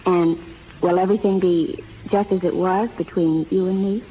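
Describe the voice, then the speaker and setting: An actress playing a gentle, earnest young schoolteacher with proper, sincere speech in an old radio drama. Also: female voice, on the low side, fairly even intonation, moderate pace, close to an everyday voice. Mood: timid, earnest. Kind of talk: 1930s radio drama acting. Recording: tinny, noisy 1930s radio recording.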